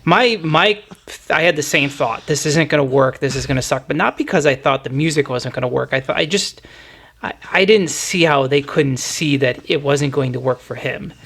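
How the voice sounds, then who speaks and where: A man's voice: on the high side, moderate pace, creaky, fairly bright tone. A man hosting a podcast, talking through music in a casual, explanatory, conversational way. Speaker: podcast host.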